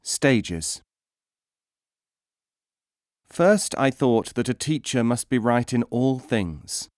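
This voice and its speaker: Guy narrating an audiobook of old tales, measured and formal, mid pitch, super dry close recording. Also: lively intonation, darkish tone, dry recording. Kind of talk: scripted narration of teaching stories.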